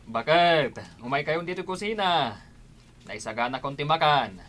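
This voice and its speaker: A man's voice: fairly high pitch, expressive, unhurried, a bit bright. A man with an Ilocano accent playing a kind, patient village husband in a radio comedy drama. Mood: welcoming.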